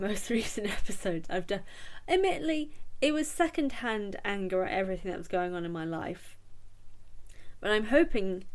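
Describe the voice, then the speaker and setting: A British woman hosting a solo book podcast, chatting casually with a blocked nose from a cold, full of ums and little giggles. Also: medium pitch. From Britain. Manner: chatty and self-deprecating, with frequent ums and giggles. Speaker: podcast host.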